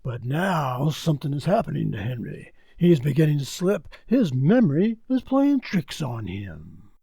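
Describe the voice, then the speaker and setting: Man acting the Whistler, ominous creaky-voiced storyteller. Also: on the high side, sing-song, normal speed, no room sound, studio quality, heavily acted. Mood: grave, measured. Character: the Whistler, mysterious all-knowing narrator of dark tales. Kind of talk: 1940s radio suspense narration. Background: loud flute and woodwind music.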